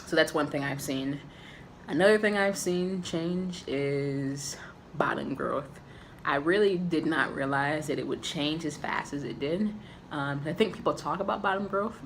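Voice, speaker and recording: A man giving a candid, casual personal update to camera, with a raspy voice and frequent filler ums. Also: on the high side, steady tone, moderate pace, fairly bright tone, a little hiss or hum. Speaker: vlogger.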